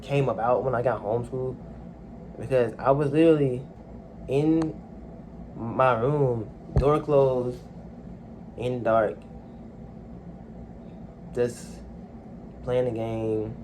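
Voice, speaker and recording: A young man speaks into a phone in a quiet room at home, his voice neither high nor low. He talks haltingly, trailing off and filling gaps with ums. A faint hiss sits under the recording. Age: young adult. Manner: soft-spoken, hesitant, many fillers. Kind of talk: casual personal monologue.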